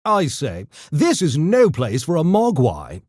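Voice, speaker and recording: A man's voice: fairly high pitch, wildly swooping pitch, a little slow, a little mellow, no echo, studio quality, heavily acted. A man voicing an urbane, mischievous gremlin for a video game, with posh, pompous, theatrical and mock-polite diction. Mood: playful, mischievous. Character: urbane genteel mischievous gremlin, a genetically engineered intellectual creature. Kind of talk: video game character voice lines.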